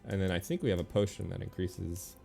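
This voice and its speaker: A man giving casual video game commentary, thinking aloud in a relaxed, muttering way as he makes decisions. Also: deep voice, a little fast, vocal fry, soft background music.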